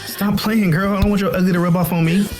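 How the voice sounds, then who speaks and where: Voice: medium pitch, fairly even intonation, quick pace, music in the background, natural voice. An actor playing a flippant, teasing little brother in an audio drama, slangy and smart-alecky. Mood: cocky teasing.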